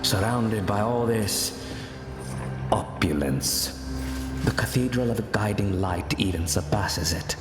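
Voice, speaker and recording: An actor playing a grave former monk turned spy, speaking in a formal, deliberate, solemn way in a video game cutscene. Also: male voice, lowish voice, reverberant, long reverb tail, music in the background.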